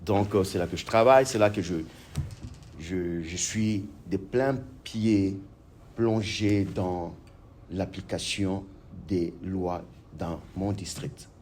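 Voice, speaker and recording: A man's voice: low-pitched, takes it slowly, slight background noise. A man from Congo, a guest author and police officer answering interview questions with many fillers and restarts. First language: French. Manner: hesitant, many fillers and restarts, grows animated.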